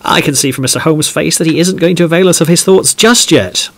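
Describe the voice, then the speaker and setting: A man's voice: a little high, fairly quick. A man playing a mild podcast host in an audio drama, polite, wordy and rather formal.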